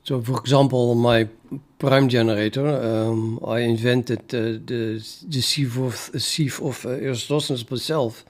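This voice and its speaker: Dutch-accented man, native Dutch speaker, rambling casual coding-stream chat, halting and full of restarts, mid-range voice. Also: medium pitch, fairly even intonation. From Netherlands. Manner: thinking aloud, many fillers and restarts. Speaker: programmer streaming his coding.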